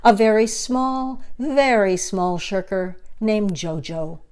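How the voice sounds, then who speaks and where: Slow, expressive woman storyteller. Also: mid-range voice, clean tone. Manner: dramatic, emphatic phrasing. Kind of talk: expressive children's picture book read-aloud.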